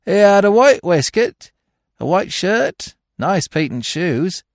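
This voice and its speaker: A cheeky, sullen little crook out of a storybook, put on by a male narrator. His high voice jumps about as he whines and answers back in rough, slangy grammar. He is cunning and defiant, recorded very dry. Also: big pitch swings, slow pace, no room sound, exaggerated voice. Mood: indignant. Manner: whining, indignant, defiant, colloquial grammar. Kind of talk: audiobook character voice.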